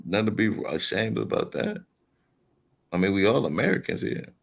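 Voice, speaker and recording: A man speaks firmly on a casual live panel, laying out his point like a lecture. His voice is very low with a gravelly edge. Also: very animated, normal speed. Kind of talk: casual debate.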